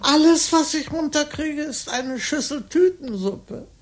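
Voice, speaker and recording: A woman's voice: sits high, a little slow. An actress playing a frail elderly woman patient who is stubborn and frightened, in a radio play. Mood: rambling. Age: older.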